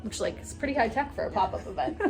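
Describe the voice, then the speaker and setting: A woman's voice: fairly high pitch, sing-song, fast, soft background music. A woman chatting enthusiastically and casually as the host of a group recap vlog.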